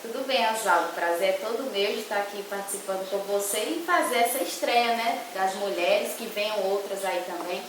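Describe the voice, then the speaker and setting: A woman's voice: mid-range voice, a little fast, few pauses. A young woman with a northeastern Brazilian accent giving conversational interview answers as a guest, often tagging phrases with a short checking question. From Ceará, northeastern Brazil. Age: young adult. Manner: frequently tags phrases with a checking "right?". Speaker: interview guest, sports commentator and journalism student. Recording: very echoey room, distant mic, faint hiss.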